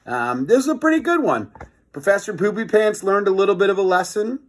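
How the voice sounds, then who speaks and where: A man's voice: high voice, brisk. Man reading a children's storybook aloud. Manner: expressive, chatty commentary between passages. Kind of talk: animated storybook read-aloud with casual asides.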